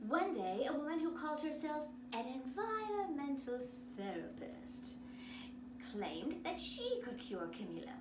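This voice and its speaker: A woman reading a children's story aloud in a theatrical, expressive way. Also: sits high, measured pace. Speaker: drama teacher reading a picture book aloud. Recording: roomy, distant mic, noisy background.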